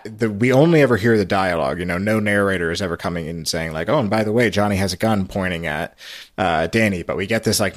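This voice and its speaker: A man giving a casual, halting, meandering storytelling recap on a podcast. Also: low-pitched, no echo, clean studio sound. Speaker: podcast guest recapping a radio show.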